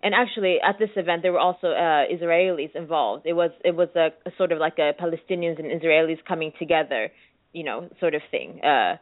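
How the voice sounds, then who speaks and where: Low-voiced woman from Sweden, hesitant and reflective. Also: fairly quick, no room sound, phone-quality sound. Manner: hesitant, searching for words. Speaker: interview guest, musician. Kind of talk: reflective conversational interview.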